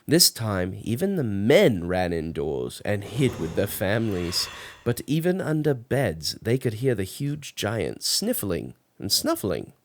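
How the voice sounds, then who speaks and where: Storybook reader doing a kids read-aloud, super animated, takes it slow, big dramatic emphasis. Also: mid-range voice.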